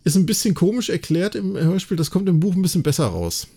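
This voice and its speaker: Man, German accent, higher pitch, warm relaxed tone, chatty podcast host, casual retelling. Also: fast, breathy edge. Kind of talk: casual retelling and analysis of an audio drama.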